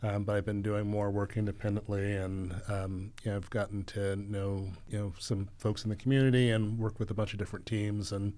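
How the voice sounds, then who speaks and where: A man chatting casually as an interview guest, speaking in a modest, measured and somewhat hesitant manner. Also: fairly low pitch, level tone, dark tone. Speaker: guest, actor and voice actor. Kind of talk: casual podcast chat.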